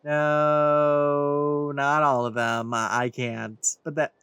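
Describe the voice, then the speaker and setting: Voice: medium pitch, little pitch movement, slow. Casual let's play streamer with music behind. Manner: reacting aloud, conversational. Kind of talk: casual gameplay commentary. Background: game music.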